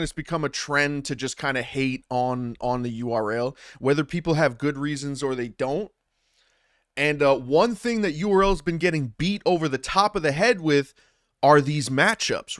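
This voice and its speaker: A man talking loosely to his live chat, restarting sentences and tossing in "man" and "bro" as he goes. His voice sits a touch higher than most men's. It comes through a close studio mic at home, dry with no room sound. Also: a bit bright, no room sound. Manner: loose, rambling, restarts often, says "man" and "bro" a lot. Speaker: streamer and battle rap commentator. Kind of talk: casual livestream chat. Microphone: studio dynamic mic through audio interface.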